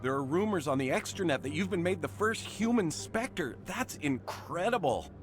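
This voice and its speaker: An actor playing a gushing, starstruck fan in a video game, excitable and full of admiration. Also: male voice, a little high, moderate pace, over music, lightly acted. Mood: awestruck. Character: gushing, starstruck male fan of a war hero. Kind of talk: video game dialogue acting.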